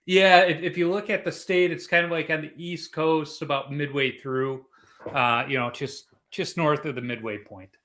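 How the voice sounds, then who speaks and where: Man, middle-aged, from chicago, podcast host, chatty and rambling, bright forceful voice. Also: fairly high pitch, steady tone, normal speed. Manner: chatty, rambling with restarts and fillers. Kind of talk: casual podcast chat.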